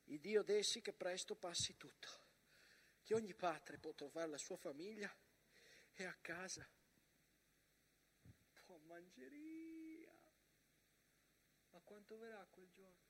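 High-voiced, weary soldier. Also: male voice, animated, slow pace, long pauses, slight background noise, full character voice. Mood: wistful. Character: weary, bitter First World War soldier writing home and remembering. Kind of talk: theatrical monologue with dramatic narration.

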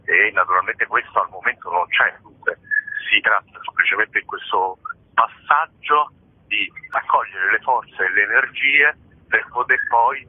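A man, a festival organizer, giving a measured, abstract explanation as a guest in a radio interview. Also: fairly low pitch, slightly rough, no echo. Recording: tinny phone line.

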